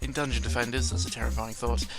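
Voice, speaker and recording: A man with a medium-pitched voice rambles casually into a close mic, with music clearly playing behind him. He is self-deprecating and sarcastic, swears freely, and often trips over his words as he goes. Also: level tone, fast. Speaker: YouTuber recording an audio log. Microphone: close microphone with pop filter. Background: background music.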